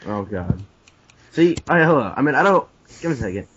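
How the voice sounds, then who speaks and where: A man's voice: mid-range voice, sing-song, fairly quick, fairly bright tone, slightly rough. A man giving casual, joking commentary as a co-host, with crude and sarcastic interjections. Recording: dull lo-fi recording.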